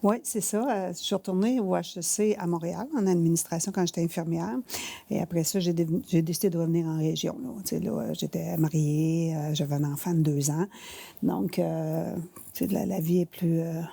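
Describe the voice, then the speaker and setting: A woman in her sixties with a Quebec French accent, answering interview questions conversationally in halting, hesitant phrases. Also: on the low side, steady tone, gravelly, dark tone, dry recording. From rural Quebec, Canada. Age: 60s. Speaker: interview guest, finance manager.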